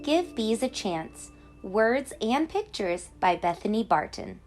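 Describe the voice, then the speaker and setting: Animated woman reading slowly to children. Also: medium pitch, music in the background, rough recording. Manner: clear explaining to young children, animated emphasis. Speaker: kindergarten teacher reading a picture book to children. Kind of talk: expressive children's read-aloud storytelling.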